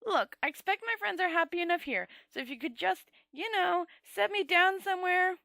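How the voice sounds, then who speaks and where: A woman's voice: normal speed, gravelly, bright, forceful tone, full character voice. A woman voicing a nervous, cowardly male wizard in a storybook reading, stammering and protesting as he tries to plead his way out. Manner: stammering, protesting, squeaky under pressure.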